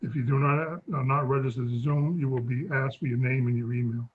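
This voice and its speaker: A man with a fading, husky voice chairs a town sewer commission meeting over a video call, stumbling through a scripted opening. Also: mid-range voice, fairly even intonation, a little fast. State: losing his voice. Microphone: remote video call. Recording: dull lo-fi call audio.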